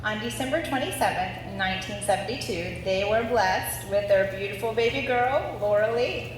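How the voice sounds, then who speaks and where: A woman's voice: medium pitch, normal speed. A woman in her forties from Ohio reads a scripted family story aloud at a live gathering. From Ohio, United States. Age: 40s. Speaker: daughter reading family story at anniversary event. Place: large reverberant room at a live gathering. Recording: very echoey, distant mic, noisy.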